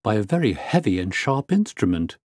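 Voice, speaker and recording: Audiobook role: brisk, firm but courteous male doctor, lively intonation male reader acting it out. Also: on the low side, slightly rough, dry recording, studio quality. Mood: concerned, brisk. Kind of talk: audiobook character voice.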